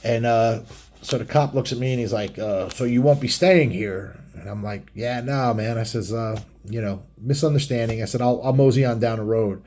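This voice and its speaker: Man, middle-aged, medium pitch, casual vlog storyteller, blunt, crude, lots of swearing, rambling delivery. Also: normal speed, a little mellow, a little hiss or hum. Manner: crude, heavily profane, blunt. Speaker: biker vlogger telling stories.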